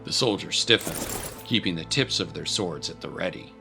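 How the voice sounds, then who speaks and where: A man's voice: low voice, expressive, normal speed, vocal fry, bright, forceful tone. A man giving grave, literary, scripted narration for a dramatic story. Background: music bed.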